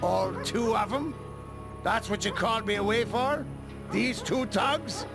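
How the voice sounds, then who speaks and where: A man's voice: sits high, unhurried, vocal fry. A man playing an arrogant, cowardly western crime boss in a video game cutscene, blustering and sneering. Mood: contemptuous. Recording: music behind the voice.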